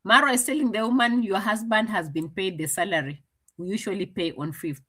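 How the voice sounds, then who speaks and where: Chatty woman commentator, bright and lively delivery. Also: mid-range voice, fairly quick. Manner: chatty, translating and mocking, laughs often. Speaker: commentator reacting to a clip. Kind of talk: casual commentary.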